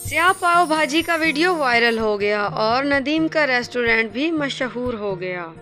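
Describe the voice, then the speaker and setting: A woman narrates a scripted moral tale. Her voice is high and she reads at a slow, measured pace. Music plays clearly behind the narration. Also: expressive, pushed and bright. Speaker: story narrator.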